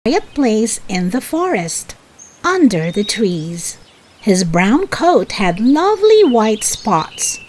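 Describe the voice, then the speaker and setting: A woman narrating a children's read-aloud story in a deliberate, expressive storytelling manner for young listeners. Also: on the high side, a little hiss or hum.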